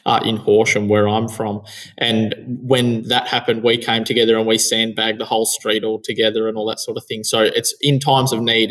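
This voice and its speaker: A man's voice: on the low side, a bit bright, low-quality recording. A young man with an Australian accent hosting a casual chat over a video call from an office, reading parts from a script. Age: young adult. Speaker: host. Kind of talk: casual interview with partly read script. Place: office room, indoors. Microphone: headset on video call.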